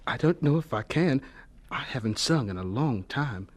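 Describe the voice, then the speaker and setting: An actor playing an earnest, humble young man, polite and deferential, with a husky voice, in a radio drama. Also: mid-range voice, expressive, moderate pace, lightly acted. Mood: wistful.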